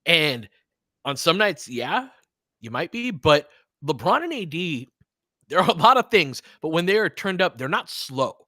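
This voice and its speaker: A man hosting a live basketball watch-along, talking as an excitable, swearing fan who also analyzes the play. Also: on the high side, a little slow, dry recording, studio quality. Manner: profane, excitable fan talk with analytical asides. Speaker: sports podcast host and analyst.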